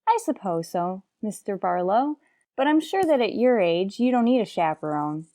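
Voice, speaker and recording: A woman acts out a wry schoolteacher in a radio comedy, with a mellow voice at middle pitch. She is quick-witted and sardonic, recorded very dry and close. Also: big pitch swings, no echo, natural voice. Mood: polite, wry. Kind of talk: old-time radio comedy acting.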